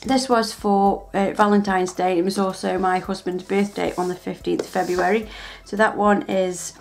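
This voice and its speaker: A woman's voice: medium pitch, flat delivery, normal speed, gravelly. A woman chatting casually as she shows off planner stickers, gushing and restarting her sentences. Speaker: planner vlogger. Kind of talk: casual show-and-tell narration.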